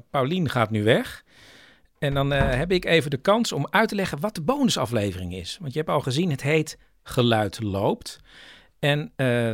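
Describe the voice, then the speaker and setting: A man's voice: medium pitch, a bit bright, no echo, studio quality. A man hosting a podcast in casual, chatty speech. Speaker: podcast host. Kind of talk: casual chat.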